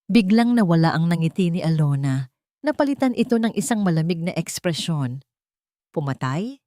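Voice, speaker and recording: A woman narrates a dramatic audiobook story in a slightly low voice. Her pitch moves in lively sweeps, and the recording is dry and close. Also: a little fast, no echo.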